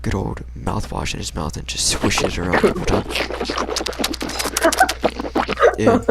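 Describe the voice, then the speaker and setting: A man's voice: on the low side, huge pitch swings, takes it slowly, creaky, very noisy. A young American man casually telling a personal story in a rambling, slangy, hesitant way. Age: young adult.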